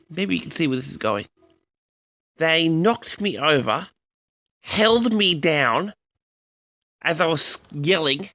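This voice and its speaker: A man telling a story to his audience as a vlog host, in a theatrical, indignant manner with dramatic pauses. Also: fairly high pitch, creaky, sharp tone. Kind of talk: storytelling monologue addressed to the audience.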